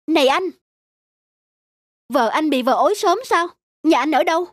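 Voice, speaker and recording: A woman's voice: high voice, moderate pace, no room sound, clean studio sound, faint music behind, close to an everyday voice. A woman playing a sensible, firm young female passenger with an earnest, reasoning manner in a cartoon. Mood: concerned. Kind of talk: cartoon voice acting.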